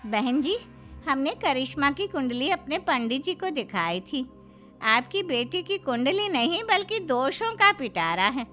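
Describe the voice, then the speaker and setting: A woman's voice: high-pitched, big pitch swings, unhurried, a bit bright, soft background music, voice pushed up, exaggerated voice. A woman voicing a stern, superstitious mother of a groom, firm and disapproving, in a cartoon drama.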